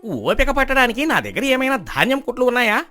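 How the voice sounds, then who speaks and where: A man's voice: very high-pitched, sing-song, quick pace, pushed and bright, faint music behind, full character voice. A man voicing a mocking, badgering village moneylender in a folk tale. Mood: angry, demanding. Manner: scornful, badgering, exclamatory. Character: gruff, mocking male village moneylender demanding repayment. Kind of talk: acted folk-tale dialogue.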